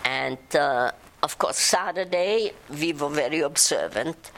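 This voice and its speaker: An older woman from Czechoslovakia, speaking haltingly in an oral history interview. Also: deep voice, animated, fairly bright tone, a little hiss or hum. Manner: halting, many restarts and fillers. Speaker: interviewee, survivor recounting childhood.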